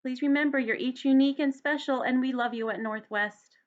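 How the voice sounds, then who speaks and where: A woman reading a children's storybook aloud in an expressive, deliberate way, like a teacher addressing young students. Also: high voice, muffled recording.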